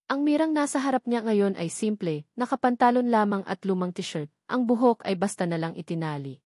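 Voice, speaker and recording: A woman's voice: medium pitch, darkish tone, dry recording, clean studio sound. Filipino woman narrating a dramatic story. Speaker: audiobook narrator.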